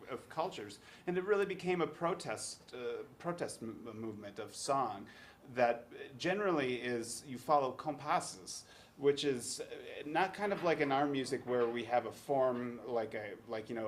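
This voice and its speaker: A man's voice: medium pitch, animated, normal speed, faint music behind. Hesitant man explaining flamenco. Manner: hesitant, many restarts and fillers. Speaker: teaching artist, musician. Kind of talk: casual explanation on a webcast.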